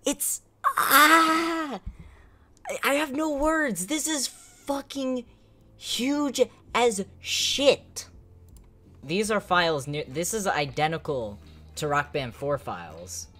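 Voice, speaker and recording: A young man streams a video game from home, reacting live in casual slang. He is excitable and swears freely. His words come out slowly and drawn out. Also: high-pitched, faint music behind. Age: young adult. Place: indoors, small home room.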